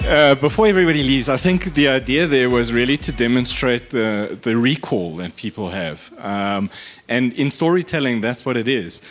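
A man's voice: medium pitch, expressive, moderate pace, soft background music. A man giving an informal, earnest, explanatory presentation to an audience. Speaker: media agency speaker. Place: live event venue.

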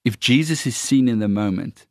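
A man with an Afrikaans accent who trains worship leaders, talking earnestly and haltingly with many fillers. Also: medium pitch, a little mellow, no echo, studio quality. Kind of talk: casual conversation on faith.

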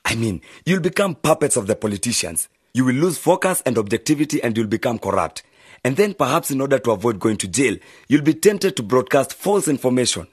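An actor playing an older brother who firmly warns his sibling against bribery, with a husky voice. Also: medium pitch, very animated, fairly quick, dry recording, clean studio sound. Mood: concerned. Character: older brother giving stern moral advice. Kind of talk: radio drama acting.

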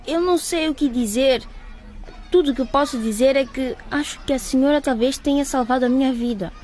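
A woman's voice: sits high, brisk, a little mellow, soft background music, close to an everyday voice. A woman playing a frightened, confused young woman in a radio drama, hesitant, polite and apologetic. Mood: unsure, shaken.

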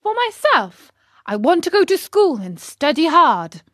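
A woman voicing a naive, excitable young marionette boy in a storybook, earnest and emphatic. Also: high-pitched, unhurried, no room sound, clean studio sound, voice pushed up, exaggerated voice. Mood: eager. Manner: eager, emphatic, exclamatory.

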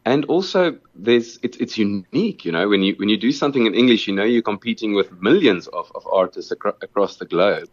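South african guy, afrikaans first language, really deep voice, earnest, keeps stumbling over repeated words, dry muffled sound. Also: animated, brisk, hardly any pauses, dry recording. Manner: earnest, repeats words often while thinking. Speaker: musician, interview guest. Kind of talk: casual interview answers.

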